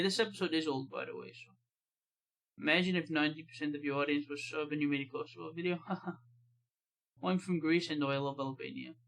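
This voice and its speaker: American man, reaction host, slightly high pitch, casual, offhand, reads comments aloud. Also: creaky, a bit bright. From United States.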